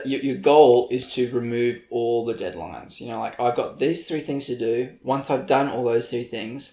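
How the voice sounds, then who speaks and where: A man's voice: medium pitch, gravelly, a little mellow. A young man co-hosting a podcast in casual chat, rambling, laughing and poking fun at himself. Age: young adult. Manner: rambling, laughing, self-mocking. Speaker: podcast co-host. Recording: echoey room.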